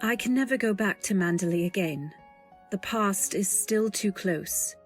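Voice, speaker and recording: A woman plays a timid young heroine telling her story in a radio play. Her voice is mid-pitched, measured and dreamy, meekly polite, with faint music underneath. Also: close to an everyday voice. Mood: wistful, haunted. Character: timid, wistful young woman, a lady's companion. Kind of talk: old-time radio drama, first-person narration.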